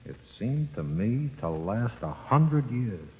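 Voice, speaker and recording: An actor playing the sinister, coaxing ghost of a convict, slangy and matter-of-fact, in 1940s radio drama with a reverb effect. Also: male voice, medium pitch, big pitch swings, slow. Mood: grim, ominous. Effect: long ghostly reverb. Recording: very muffled old radio, long reverb.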